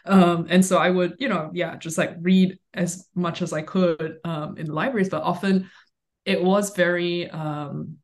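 A woman in her thirties from Singapore, answering interview questions in a relaxed, thoughtful way with many pauses and fillers, over a call. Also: on the low side. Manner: relaxed, thoughtful, light laughs, many ums. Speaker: author guest. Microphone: remote call mic.

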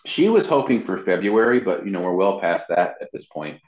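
A man's voice: low voice, big pitch swings, a little fast, darkish tone. A man giving a casual, rambling interview as a guest, telling anecdotes about his work. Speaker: interview guest, voice actor and web designer. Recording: dull, roomy, lo-fi sound.

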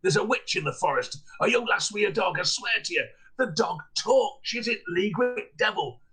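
A husky-voiced man, playing a rough, injured peddler who shouts wild accusations. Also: fairly high pitch, expressive, fairly quick. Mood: frantic. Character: angry injured male peddler, middle-aged, gruff.

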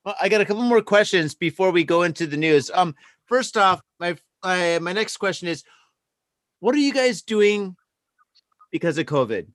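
Male podcast host, high-pitched, very dry recording, informal blunt interviewing with some swearing. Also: animated, normal speed, fairly bright tone, no room sound. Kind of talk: casual interviewing.

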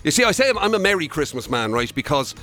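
A man's voice: on the high side, sing-song, fast, pushed and bright, soft background music. A man with an Irish accent co-hosting a breakfast radio show in casual, bantering chat, often circling back over his words. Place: radio studio.

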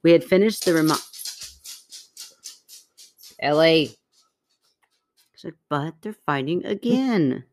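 A woman co-hosting a podcast in casual, playful banter, jokey and quick to poke fun at herself. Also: sits low, expressive, a little mellow, faint music behind. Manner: playful, self-deprecating, jokey. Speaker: podcast co-host. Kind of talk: casual comic banter with sibling co-host.

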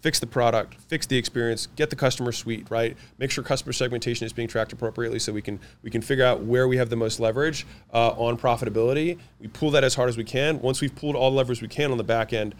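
A man whose first language is French, answering interview questions about running companies, confident and quick, listing his points one after another. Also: mid-range voice, fairly even intonation. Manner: fast, confident, lists points in quick runs. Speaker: guest, business owner.

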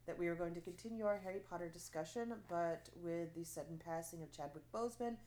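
Low-voiced stuffy woman podcaster. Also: darkish tone. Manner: chatty, many fillers and restarts, laughs often. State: stuffy from allergies. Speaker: podcast co-host. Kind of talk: casual podcast chat.

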